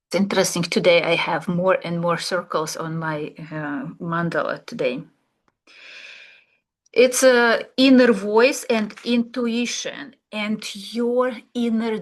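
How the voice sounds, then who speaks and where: Slow, searching woman. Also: mid-range voice. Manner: slow, searching, with fillers and pauses. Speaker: art therapy instructor. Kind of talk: relaxed reflective class talk.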